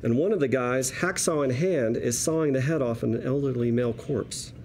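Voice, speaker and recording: A man with a mid-pitched voice reads screenplay action aloud. His narration is wry and conversational, like telling a story to colleagues. Faint room noise sits behind him. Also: normal speed, a little mellow. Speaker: stage-direction reader at a table read. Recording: noisy room ambience.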